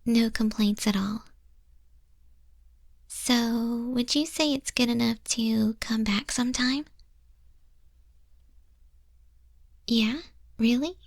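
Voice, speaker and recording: A woman voicing a gentle, sincere moth monster girl tattoo artist in a soft, breathy, tender ASMR roleplay. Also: on the high side, slow, pauses often, dry recording, studio quality, heavily acted. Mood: softly pleased.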